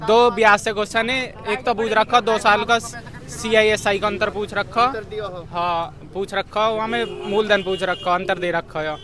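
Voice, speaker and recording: A man's voice: high voice, steady tone, unhurried. A young man, an exam candidate informally recapping a paper and often rephrasing himself. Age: young adult. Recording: busy outdoor street, crowd and traffic noise.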